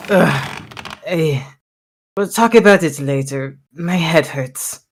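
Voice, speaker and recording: Woman voicing a hungover adventurer, low voice, slow, groggy, dry, tabletop roleplay. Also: slight background noise. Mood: groggy, grumpy. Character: hungover, grumpy, blasé party-loving adventurer.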